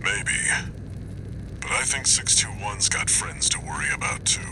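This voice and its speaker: A man playing a grim, world-weary older mercenary handler in a video game, with a gravelly, breathy voice and terse delivery. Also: lowish voice, lively intonation, measured pace, background noise. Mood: gruff, businesslike. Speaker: handler giving mercenary missions. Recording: music behind the voice.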